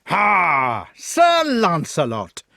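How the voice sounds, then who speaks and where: A man voicing a fat, bullying villain of a knight in a storybook, shouting with scornful bluster. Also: high-pitched, wildly swooping pitch, very slow, no echo, studio quality, voice pushed up, full character voice. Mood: gloating.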